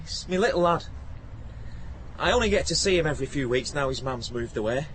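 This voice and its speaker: Anxious young-hearted dad, Northern English accent, colloquial, pleading, high voice, faintly noisy outdoor radio play. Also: male voice, animated, fairly quick, a bit bright. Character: earnest, anxious working-class taxi driver and devoted dad. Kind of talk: naturalistic radio play acting. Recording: outdoor scene with faint traffic ambience noise.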